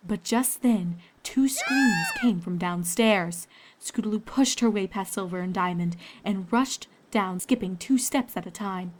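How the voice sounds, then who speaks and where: A woman's voice: medium pitch, a little slow. A woman storyteller reading scripted fiction aloud, with ambient noise behind her.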